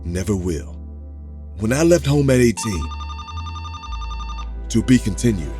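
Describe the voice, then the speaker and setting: Man playing a mellow, easygoing fatherly figure. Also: lowish voice, expressive, measured pace, soft background music. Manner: easygoing, understated. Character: calm, mellow fatherly man, quietly watchful. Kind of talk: audio drama acting.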